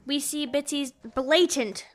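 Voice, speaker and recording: High-voiced woman recapping a book casually. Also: unhurried. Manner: informal, conversational storytelling. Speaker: podcast host. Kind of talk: casual chat recapping a novel.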